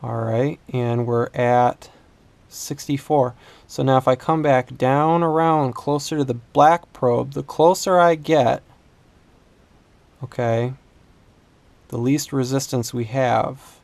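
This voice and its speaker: A man giving an unscripted hands-on demonstration as a repair instructor, thinking aloud in a halting way. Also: mid-range voice, gravelly, darkish tone, no room sound. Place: indoors at a workbench.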